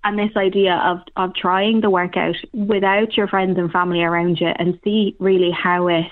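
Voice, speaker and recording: A woman's voice: on the low side, level tone, normal speed, dry recording. A woman with an Irish accent from Cork, chatting informally and thinking aloud as a theatre director in a casual interview. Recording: very phone-like, narrow-band sound.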